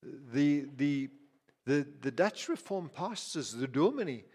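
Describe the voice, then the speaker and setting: A man's voice: a little high, very animated, takes it slowly, darkish tone. A man from Zimbabwe preaching a sermon as pastor, talking conversationally with frequent restarts, asides and repeated words. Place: church. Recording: reverberant hall.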